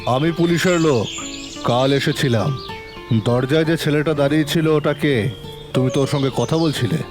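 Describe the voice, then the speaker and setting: A man playing a stern police inspector in an audio drama, questioning briskly and probingly. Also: a little high, music in the background, natural voice. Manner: brisk, interrogating. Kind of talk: Bengali audio drama acting.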